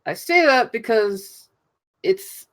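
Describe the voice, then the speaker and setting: A woman giving casual feedback in an online voice chat, hesitant and broken in phrasing, with animated emphasis. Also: a little high, takes it slowly. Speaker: table-read voice performer giving feedback. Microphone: push-to-talk in online voice chat.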